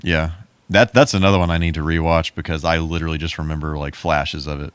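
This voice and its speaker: A man's voice: very deep voice, steady tone, fast talker, a bit bright, clean tone, dry recording. A man co-hosting a podcast, chatting in a laid-back, informal way. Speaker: podcast co-host. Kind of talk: casual chat.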